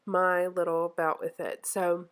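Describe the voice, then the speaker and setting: A woman's voice: fairly low pitch, little pitch movement, unhurried, smooth. Congested woman host, nasal from the flu, chatty craft voice-over full of ums and restarts. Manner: chatty, rambling, frequent ums and self-corrections. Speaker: scrapbooking channel host. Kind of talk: casual craft process voice-over.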